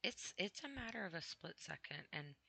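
Tired woman rambling on her podcast at night, deep gravelly voice, kinda tense, dry close sound, lots of ums. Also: expressive, sharp tone, no echo. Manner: rambling, halting, apologetic, frequent fillers. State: tired, low after crying. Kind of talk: casual rambling monologue. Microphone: computer mic with headphones on.

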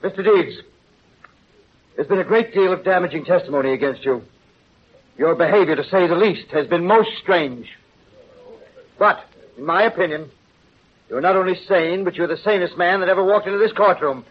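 An actor playing a patient, authoritative judge in a 1930s radio drama, speaking in a formal, measured way. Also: male voice, sits high. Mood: patient authority. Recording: muffled, hissy 1930s radio recording.

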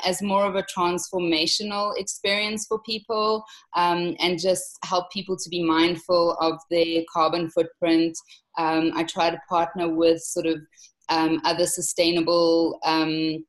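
A woman's voice: fairly low pitch, level tone, measured pace, poor audio. A woman in her forties with a South African accent, talking as an interview guest in a friendly manner with frequent ums. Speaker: guest, travel company founder. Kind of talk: casual interview.

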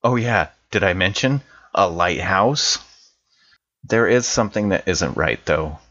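A man playing a wary, chummy guy in his thirties, speaking a scripted personal message in an informal, measured way. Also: low voice, expressive, a bit bright, dull audio, heavily acted. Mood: uneasy, guarded. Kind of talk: scripted in-character monologue, conversational.

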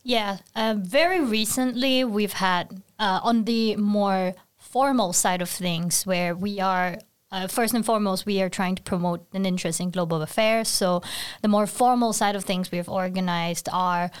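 A woman's voice: mid-range voice, moderate pace, clean tone. A young woman from Singapore answering casually as a student guest in an interview. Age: young adult. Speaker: podcast guest, student board member.

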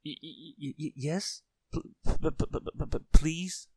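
A man's voice: a little high, moderate pace, dark tone, slightly rough, no echo, voice pushed up. A man voicing a tiny, timid male storybook character who is hysterically fearful, stammering and crying out melodramatically. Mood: nervous stammering.

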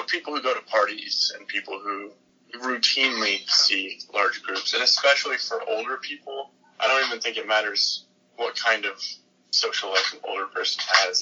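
A twenty-year-old man with a low, sharp-edged and raspy voice speaks thoughtfully, pausing as he goes. The sound is dull and lo-fi. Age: 20s. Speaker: interviewee.

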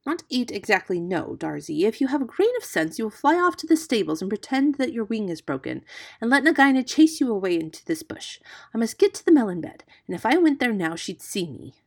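Mongoose hero, read by a woman: small brave male, impatient and bossy, raised pitch, forceful scolding delivery. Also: very animated, fairly quick.

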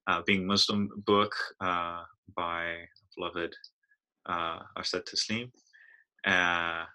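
A man's voice: sits low, level tone, slow pace, clear, resonant tone, low-quality recording. A man hosting an interview, speaking hesitantly with many "uh"s as he introduces his guest. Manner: warm, hesitant, fills gaps with "uh". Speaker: podcast host. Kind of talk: casual interview.

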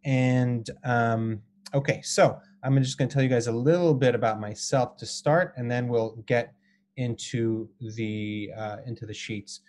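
An American man with a medium-pitched voice teaches a class online in an informal lecture style. His delivery is halting and hesitant, broken by frequent ums and pauses. The audio comes from a video call. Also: fairly even intonation, a little mellow. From Israel and Colorado, US.

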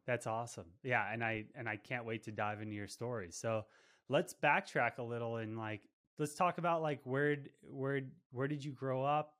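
A man's voice: mid-range voice, steady tone, normal speed, fairly bright tone. A man hosting a podcast interview, upbeat and enthusiastic, often restarting his questions. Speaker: podcast host. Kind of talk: casual interview.

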